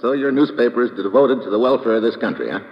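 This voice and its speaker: An actor playing a tough, unflappable American investigator, laconic and sardonic, acting and narrating in an old radio adventure. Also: male voice, medium pitch, fast talker, husky. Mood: dry, sardonic. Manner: laconic, sardonic, clipped present-tense storytelling. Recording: dull old radio recording.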